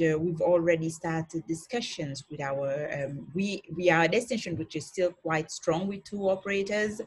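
A middle-aged woman from the Seychelles with a husky voice, speaking in a hesitant, measured way as a panellist on a video call. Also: deep voice. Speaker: tourism board chief executive, panellist. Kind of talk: online panel discussion.

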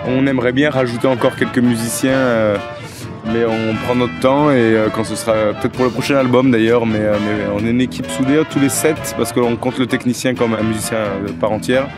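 Thirties man, relaxed halting musician interviewed outside. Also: mid-range voice, music in the background. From Toulouse, France. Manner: relaxed, many hesitations. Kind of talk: casual interview. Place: outdoors against an old wall. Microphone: handheld mic held by interviewer.

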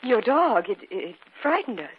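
A frightened wife in a radio horror play, anxious and high-pitched. Her voice is very rough and husky, swooping about on a dull vintage recording. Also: big pitch swings, normal speed, exaggerated voice. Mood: nervous. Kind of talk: 1950s radio acting. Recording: muffled 1950s radio recording.

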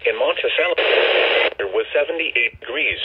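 A man's voice: a little high, normal speed, narrow, tinny audio, very noisy. A man's voice reading an automated weather bulletin, coming out of a radio speaker.

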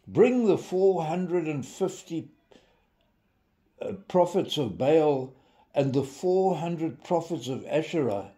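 An older man, giving an informal devotional in a deliberate storytelling manner with dramatic emphasis. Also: fairly high pitch, dark tone. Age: 70s. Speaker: devotional preacher. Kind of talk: informal sermon with storytelling and scripture reading.

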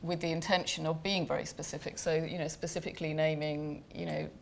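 Woman director, deep fry-edged voice, halting panel talk in a big room. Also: level tone. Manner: hesitant, many fillers and restarts. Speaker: film director. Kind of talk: panel discussion answer. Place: large gallery room.

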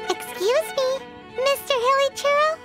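A woman voicing a tiny, childlike fairy girl in an anime-style game, chirpy, cheeky and exclamatory. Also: measured pace. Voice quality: squeaky, childlike. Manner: speaks of herself in third person, exclamatory. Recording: loud music behind the voice.

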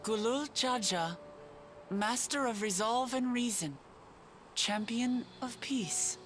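A woman's voice: on the high side, slow, faint music behind. An actress playing a proud, hot-tempered young lion-woman warrior in a video game, forthright and emphatic. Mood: reverent. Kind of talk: scripted video game cutscene acting.

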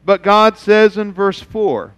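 A man's voice: high voice, slow pace, a bit bright, clean tone. A middle-aged man, preaching a sermon on a church stage and acting out God's voice in the quotes he tells. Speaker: pastor. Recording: reverberant church hall.

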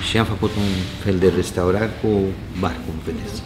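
A man in his sixties from Costa Rica with a Spanish accent, owner of a restaurant, chatting casually in an indoor restaurant bar and telling stories warmly with frequent chuckles. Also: on the low side, steady tone, background noise. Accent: Costa Rican Spanish. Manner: warm storyteller, chuckles often, gestures with hands. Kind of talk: casual interview chat.

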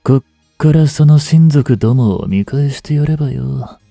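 An actor playing a rough young street punk working as a butler in an audio drama, blunt and teasing, with a grudgingly tender side. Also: male voice, medium pitch, a little slow, mellow, dry recording, studio quality, faint music behind. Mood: grudgingly soothing. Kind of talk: intimate Japanese audio drama acting addressed to the listener.

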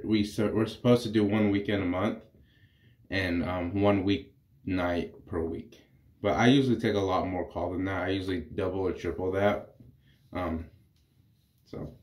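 Low-voiced congested man in echoey bathroom. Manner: relaxed, rambling, explains while shaving. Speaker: wet-shaving reviewer. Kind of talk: casual vlog commentary. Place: small bathroom.

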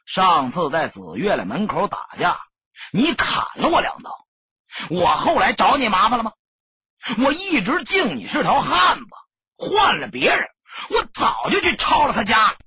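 Male narrator as seasoned gangster boss, emphatic, very animated, husky voice, audiobook. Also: high voice, normal speed, no echo, highs cut off, pitched above the performer's own voice, full character voice. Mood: earnest, reproachful. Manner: emphatic, insistent. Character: veteran male gang boss, menacing yet seemingly sincere.

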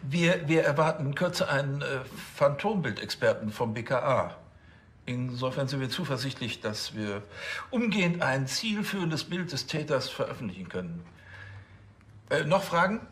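Pompous, self-important police chief. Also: male voice, mid-range voice, big pitch swings, fairly bright tone, breathy edge. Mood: stiffly official. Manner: pompous, wordy, halting officialese. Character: vain, self-important male police chief, easily offended. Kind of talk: TV crime comedy acting.

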